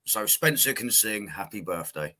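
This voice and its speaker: A man's voice: lowish voice, animated, normal speed, sharp tone. A man hosting a casual music interview with a cheeky, dry, teasing manner. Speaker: show host.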